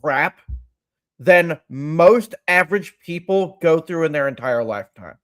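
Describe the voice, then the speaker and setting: A man's voice: fairly high pitch, lively intonation, measured pace, fairly bright tone. A man in his mid thirties speaking earnestly and emphatically as a guest in a casual chat. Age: 30s. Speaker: podcast guest.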